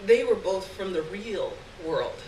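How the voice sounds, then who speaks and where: A woman giving an expressive dramatic reading of a literary short story live on stage, acting out the dialogue. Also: mid-range voice, measured pace. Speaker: story reader. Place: live stage event, recorded from a distance. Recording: roomy distant live recording, clear background noise.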